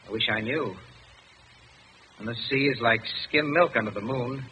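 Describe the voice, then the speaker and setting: A man's voice: mid-range voice, normal speed, pushed and bright, natural voice. An actor playing a young man in a radio drama, suspicious and sardonic, speaking in a clipped, pointed, insinuating manner. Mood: wry, tense. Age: young adult. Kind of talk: old-time radio drama acting. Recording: muffled, noisy old radio broadcast.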